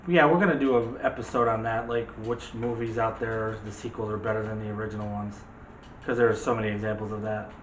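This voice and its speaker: A man's voice: lowish voice, fairly even intonation, quick pace. Guy cohosting a movie chat, jokey and casual, echoey room w some faint noise. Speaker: co-host of a movie discussion show. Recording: roomy echo with light background noise.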